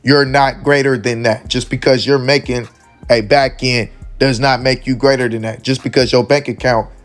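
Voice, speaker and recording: A man hosting casual commentary, hoarse and losing his voice, speaking earnestly and often tagging lines with "man.". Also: medium pitch, fairly even intonation, moderate pace, faint music behind. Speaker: host of a commentary channel.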